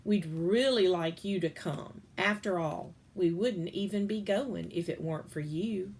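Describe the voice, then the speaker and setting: A woman's voice: lowish voice, unhurried, darkish tone, a little hiss or hum. Woman voicing a reassuring storybook mother.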